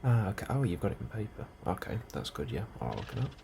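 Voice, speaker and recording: A man doing a soft, breathy ASMR role-play as an unhurried, politely understated officer interviewing a newcomer. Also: fairly low pitch. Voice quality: soft, breathy, often near whisper. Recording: steady ambient noise behind the voice. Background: computer and ambience sounds.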